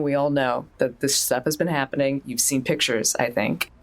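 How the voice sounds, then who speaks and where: A woman playing a tabletop role-playing game, talking in a casual, improvised and conversational way. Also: deep voice.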